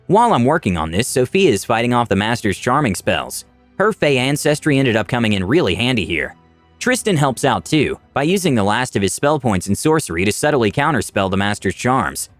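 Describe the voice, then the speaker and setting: A man's voice: mid-range voice, no room sound. A man narrating a scripted fantasy adventure story in the first person, with dramatic delivery. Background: music.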